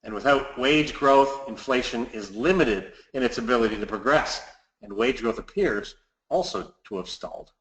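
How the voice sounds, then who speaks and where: A man's voice: mid-range voice, moderate pace, fairly bright tone. A man giving a scripted market commentary as a financial advisor hosting a client webinar, recorded in a roomy, echoey space.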